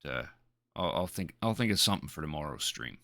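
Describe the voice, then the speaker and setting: Guy streamer w deep voice, kinda bright, dry close sound, chats casually, halting and repeats himself. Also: expressive, gravelly, no room sound. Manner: hesitant, halting, repeats words. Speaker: live streamer.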